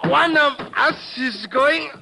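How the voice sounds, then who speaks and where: A menacing assassin in an old radio thriller. His voice is very high and gravelly, and his threats come slowly and formally, over a noisy vintage recording. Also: full character voice. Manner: slow, stiff, formal threatening speech. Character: menacing foreign henchman sent to kill the hero. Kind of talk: 1950s radio drama acting.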